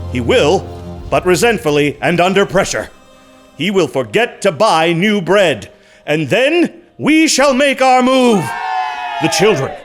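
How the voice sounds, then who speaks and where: A man playing a cunning, pompous mold spore orator bent on conquest, in a comic audio drama. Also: high voice. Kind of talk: theatrical audio comedy drama.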